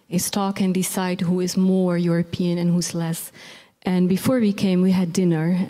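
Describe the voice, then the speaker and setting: A woman's voice: on the low side, warm tone. A woman born in Pakistan and living in the Netherlands, hosting a public evening and giving earnest, plainspoken closing remarks. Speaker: event host. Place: lecture hall with an audience. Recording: hall reverb, crowd in the room.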